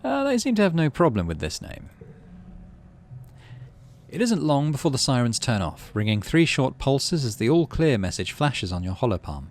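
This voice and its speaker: A man hosting a let's play, reading a story game aloud with expressive delivery and muttering asides about his moves. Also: mid-range voice, moderate pace, slight background noise.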